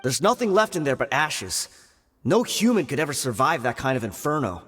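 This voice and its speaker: A man's voice: mid-range voice, big pitch swings, normal speed, a bit bright, slightly rough. An actor playing a cowardly male bandit guard who stammers and talks his way out of blame, in a video game. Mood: dismissive. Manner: nervous, stammering, defensive. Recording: game audio with reverb and music.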